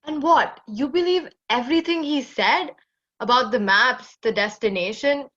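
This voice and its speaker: A woman's voice: sits high, moderate pace, fairly bright tone, highs cut off. A woman playing a sardonic, impatient masked starship crew member in a sci-fi audio drama, with a dry, sarcastic delivery.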